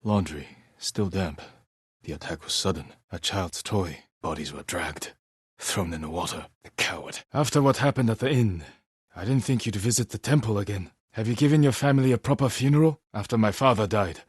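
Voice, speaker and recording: Deep, husky, solemn samurai warrior. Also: male voice, dry recording, clean studio sound. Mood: quiet, somber. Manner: solemn, measured, restrained. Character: stoic honorable young samurai warrior, weary, grave, protective. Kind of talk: dramatic video game voice acting.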